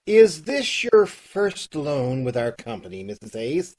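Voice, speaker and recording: A man's voice: mid-range voice, big pitch swings, unhurried, a little mellow. A man playing a flustered loan officer on a video call. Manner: exasperated, repeats himself, stammers and laughs. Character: flustered middle-aged male loan officer. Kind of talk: old-time radio comedy acting. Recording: distant, uneven video call audio.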